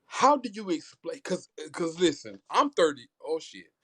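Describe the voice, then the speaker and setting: Guy in his 30s, swoopy lively pitch, keeps repeating himself to get going. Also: a little slow. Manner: emphatic, repeats words when starting a thought. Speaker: discussion panelist. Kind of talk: heated casual discussion.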